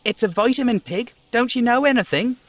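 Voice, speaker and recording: A man's voice: very high-pitched, fairly quick, no room sound, pitched above the performer's own voice. A man voicing a cocky, hostile, swearing street kid in a video game, a brash braggart who talks of himself in third person. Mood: scornful, bragging. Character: foul-mouthed cocky street kid boy, swaggering and hostile.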